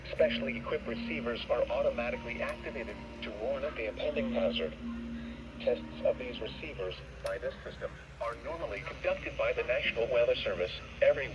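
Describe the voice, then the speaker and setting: Synthetic male weather-radio voice, hissy. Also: medium pitch. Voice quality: even, synthetic text-to-speech delivery. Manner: flat, measured, word-by-word reading. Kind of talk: automated weather broadcast reading. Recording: dull, hissy radio receiver recording.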